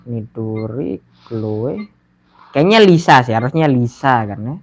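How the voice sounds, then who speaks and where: A man's voice: medium pitch, expressive, a little slow, a little hiss or hum. A man with an Indonesian accent giving casual, slangy live commentary on a game, often muttering to himself. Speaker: game streamer.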